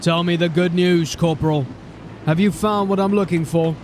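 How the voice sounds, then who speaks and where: An actor playing a blunt, commanding veteran sergeant of a city watch. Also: male voice, sits high, steady tone, moderate pace, slight background noise, close to an everyday voice. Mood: grim. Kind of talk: audio drama acting. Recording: music bed under the voice. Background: music.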